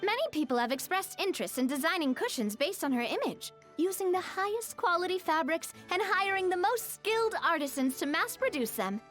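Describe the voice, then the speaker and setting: A woman's voice: very high voice, lively intonation, a little fast, few pauses, fairly bright tone. A woman voicing a poised, cheerful young noblewoman in a video game, explaining things in a polished, articulate way. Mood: cheerful, proud. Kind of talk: video game story dialogue acting. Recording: studio voice over game music.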